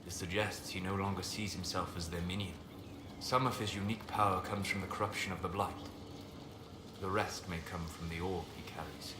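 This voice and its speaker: An actor playing a calm, reserved elven mage advisor in a video game, speaking in measured, formal phrases. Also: male voice, low-pitched, natural voice. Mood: grave, analytical. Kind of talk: video game dialogue acting. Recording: roomy echo, clear background noise.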